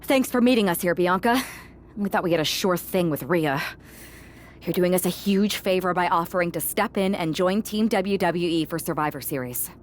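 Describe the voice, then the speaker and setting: A woman's voice: fairly low pitch, normal speed, a little hiss or hum, natural voice. A woman playing an earnest wrestling teammate in a video game cutscene. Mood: earnest, exasperated. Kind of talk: scripted game cutscene dialogue.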